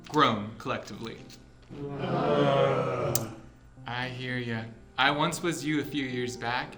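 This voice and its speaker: A man's voice: mid-range voice, close to an everyday voice. A man playing a smug, goofy graduate teaching assistant addressing a class in a comedy web series, wry and showy. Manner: wry, showy, drawn-out delivery. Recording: echoey room.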